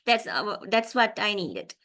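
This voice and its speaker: A woman with a Sri Lankan accent leading an informal online webinar, speaking in a halting, polite way. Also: medium pitch, very animated, bright, forceful tone. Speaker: psychological counselor leading a workshop. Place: online video call.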